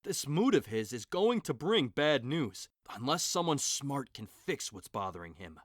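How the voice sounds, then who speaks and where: A man's voice: medium pitch, sing-song, measured pace, no room sound, clean studio sound. A man playing a grave, worried noble father. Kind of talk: audio drama acting.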